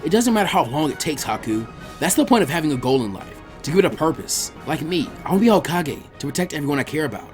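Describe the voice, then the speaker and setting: A man's voice: a little high, sing-song, fast talker, soft background music. A man voicing a brash, earnest twelve-year-old ninja boy who urges a friend on in an informal, emphatic way. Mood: encouraging, determined.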